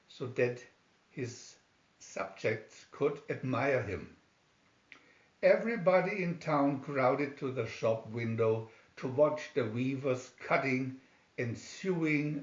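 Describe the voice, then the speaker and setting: Male storyteller, slow and deliberate, lively intonation, fairy tale narration, dull lo-fi sound. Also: medium pitch. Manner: deliberate, expressive read-aloud delivery. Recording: roomy, dull lo-fi home recording.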